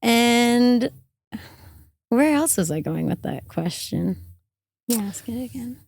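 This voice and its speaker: Slightly hoarse young woman, chatty. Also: fairly high pitch, lively intonation, takes it slowly. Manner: chatty, with frequent fillers and soft trailing off. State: slightly hoarse from karaoke and yelling. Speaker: podcast guest. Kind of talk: casual interview chat. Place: van-life festival in the desert.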